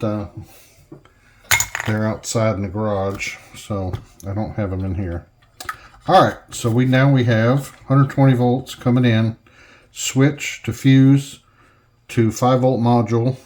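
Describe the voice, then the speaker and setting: A man's voice: lowish voice, a little mellow. A man giving casual, hands-on workbench narration in a folksy way, half talking to himself as he works indoors at home. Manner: folksy, muttering to himself while working. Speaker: hobbyist host demonstrating an electronics conversion. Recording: clearly noisy home recording, clicks.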